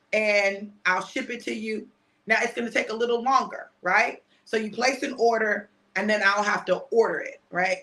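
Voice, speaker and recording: A woman in her fifties, chatty and repetitive, mixing a prophetic word with a casual sales pitch and often asking "right?". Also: pushed and bright. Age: 50s. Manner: chatty, repeats phrases, asks "right?". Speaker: preacher selling a product.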